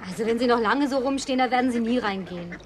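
An actress playing a bossy, playful, high-spirited woman in a German radio play, with a commanding, teasing manner. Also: quick pace, slight background noise, natural voice. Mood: teasing, curious.

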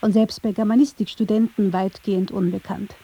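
A woman's voice: mid-range voice, steady tone, brisk, warm tone, muffled recording, slight background noise. A woman reading scripted narration for a radio documentary.

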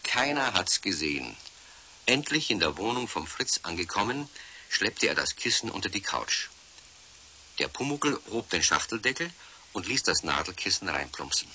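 Casting: male narrator for a children's radio story, low voice, very bright crisp edge, faint tape hiss. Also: very animated, moderate pace.

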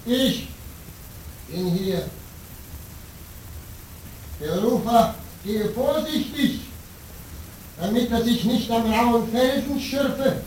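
An actor playing a solemn old hermit monk in a German radio play, speaking with formal ceremony. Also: male voice, very high voice, drawn-out words, long pauses, darkish tone, slight background noise, full character voice. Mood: grave, instructive. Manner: ceremonious, speaks of himself in third person. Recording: roomy, distant old radio drama with faint music.

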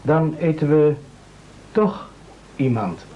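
An actor playing an eager, evasive and excitable castaway gentleman in an absurdist radio play, speaking politely. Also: a little high, slow pace. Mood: hungry, evasive. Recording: dull lo-fi old radio recording.

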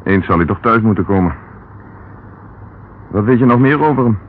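An actor playing a determined, persistent police inspector in a Dutch radio detective drama, questioning formally and insistently. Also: male voice, on the low side, animated, normal speed, lightly acted. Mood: insistent, probing. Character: determined male Scotland Yard police inspector, brisk and persistent. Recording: tinny, band-limited, noisy old radio recording.

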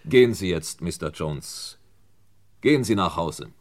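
An actor playing a calm, methodical police inspector in a 1960s German radio crime drama, speaking deliberately and with authority. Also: male voice, low voice. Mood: quietly firm.

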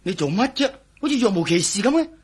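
An actor playing a jittery, blustering police lieutenant colonel in a radio drama, with a Hong Kong Cantonese accent. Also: male voice, high voice, sing-song, fast, breathy edge, heavily acted. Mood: nervous, sceptical.

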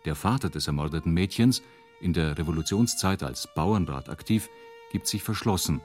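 A man with a very deep voice narrates a radio drama. His delivery is sober and measured, with faint violin music behind it. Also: lively intonation, a little mellow.

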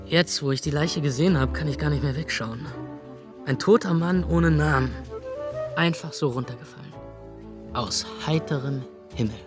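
A wry, jittery young man, voiced by an actor, tells the story in a radio play, his voice sitting a touch high. He talks with sardonic, dry asides. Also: moderate pace, over music, lightly acted. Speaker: narrator. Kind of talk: radio drama first-person narration.

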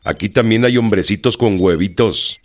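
Actor as low-voiced, blunt Mexican revolutionary general. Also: male voice, lightly acted. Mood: defiant. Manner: grunting acknowledgements, deliberate, plain-spoken. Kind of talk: radio drama acting.

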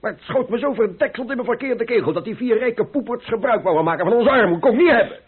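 An actor playing a proud, hot-tempered but good-humoured painter in a vintage radio play, with husky, blustering, earthy talk. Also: male voice, very high-pitched, lively intonation, fast talker, heavily acted. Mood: angry. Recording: muffled narrow-band old radio recording.

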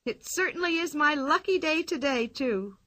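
A woman's voice: expressive, normal speed, a bit bright, dry recording. A woman voicing a bold, cheeky young girl, bouncy and carefree. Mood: playful. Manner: high bouncy voice, merry and carefree.

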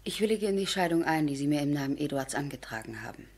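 A self-denying noblewoman, grieving yet resolute. Her low voice is extremely breathy, often sinking toward a whisper, in classic radio drama style. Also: expressive, quick pace, a little mellow. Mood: anguished, resolute. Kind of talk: German radio play acting.

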